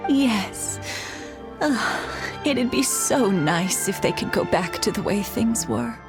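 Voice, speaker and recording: A measured, regretful townswoman who speaks slowly. Game music plays clearly under her voice. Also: mid-range voice, lively intonation.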